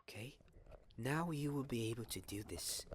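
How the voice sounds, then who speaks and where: A young man playing a kind, gentle wizard professor in a soft-spoken ASMR roleplay, soothing and full of pauses. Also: mid-range voice, expressive, slight background noise, close to an everyday voice. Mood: calm, encouraging. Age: young adult. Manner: slow, soothing, pausing, repeats words softly. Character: kind, gentle male wizard professor teaching defense class.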